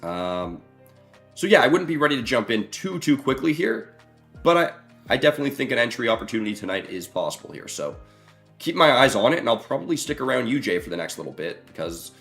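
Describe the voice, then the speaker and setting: A young man with a hoarse, roughening voice, casually talking to his live stream chat with lots of fillers. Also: medium pitch, big pitch swings, a little fast, fairly bright tone, faint music behind. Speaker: trading streamer.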